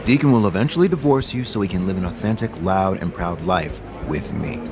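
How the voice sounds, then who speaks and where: Very low-voiced, proud man. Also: lively intonation, brisk, noisy background. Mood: smugly defiant. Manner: assertive, sardonic, dramatic. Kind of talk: audio soap opera acting.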